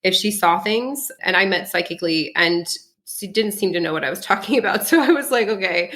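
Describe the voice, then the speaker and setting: A woman's voice: medium pitch, expressive, a little fast, a bit bright. A woman with an American accent and French as her first language, chatting casually as an interview guest. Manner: chatty, laughs often while talking. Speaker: podcast guest, psychic.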